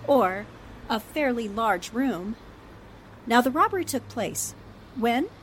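A woman acts out a shrewd newspaper sleuth in a forties radio drama. She sounds brisk, assured and emphatic in a fairly high voice. Light ambient noise is behind her. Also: expressive, rough recording. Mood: confident, deducing. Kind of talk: 1940s radio drama acting.

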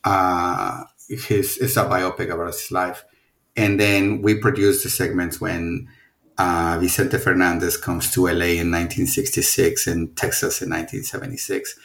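A man's voice: low voice, level tone, moderate pace, gravelly, a bit bright, reverberant. A middle-aged man from Mexico with a Mexican accent, a film producer talking casually in an interview and rambling through many restarts and fillers.

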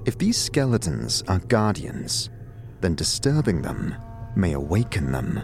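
Casting: male narrator for fantasy audio drama, lower register, expressive range, faint music underneath. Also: unhurried. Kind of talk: scripted second-person fantasy narration.

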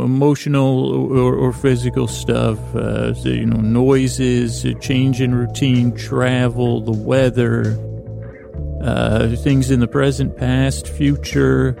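A man hosting a bedtime podcast with a creaky voice, rambling in a halting, self-deprecating way that wanders and breaks off mid-word. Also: medium pitch. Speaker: podcast host. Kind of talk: rambling bedtime monologue to help listeners sleep.

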